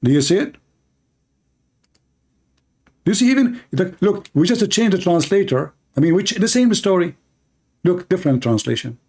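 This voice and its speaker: A man preaching to his viewers with a dark, mellow voice pitched a little high. He declaims emphatically and repeats his points for force. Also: animated, a little fast, husky. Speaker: online religious debater.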